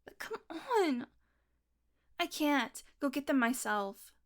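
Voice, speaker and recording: A woman's voice: high-pitched, very animated, slow, heavily acted. A woman playing a hungry, irritable female vampire in an audio roleplay, sardonic and foul-mouthed, with teasing pet names. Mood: cranky, sarcastic. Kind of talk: audio roleplay acting, one-sided dialogue.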